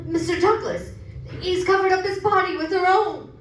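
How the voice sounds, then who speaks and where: An alarmed, fearful companion played by a woman in a 1930s-style radio horror play. The voice is high and dramatic, heard from afar in a very echoey space. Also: normal speed. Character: alarmed companion breathlessly reporting horrors in a vault. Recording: very echoey, distant, faint noise.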